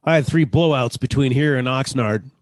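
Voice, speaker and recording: An actor playing a weary, inquisitive oil-field geologist in casual, clipped radio drama dialogue. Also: male voice, mid-range voice, moderate pace, no echo, studio quality, close to an everyday voice. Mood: tired, friendly.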